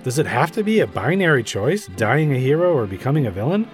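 A man's voice: medium pitch, very animated, a little fast, soft background music, natural voice. A man voicing a flustered, earnest police commissioner, stammering and rambling anxiously in a comic story reading. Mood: confused, pleading. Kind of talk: comic audiobook-style reading.

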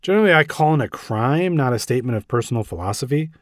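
A man's voice: mid-range voice, animated, quick pace, no room sound, studio quality, close to an everyday voice. A man voicing a flustered, earnest police commissioner, stammering and rambling anxiously in a comic story reading. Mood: confused, pleading. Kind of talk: comic audiobook-style reading.

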